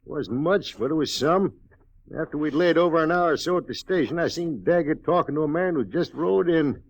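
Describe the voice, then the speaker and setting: An actor playing a blunt, indignant stagecoach driver with a gravelly voice and rustic American speech in a radio western. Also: male voice, on the high side, lively intonation, a little fast. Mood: aggrieved, urgent. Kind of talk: 1950s radio western acting. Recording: dull 1950s radio recording.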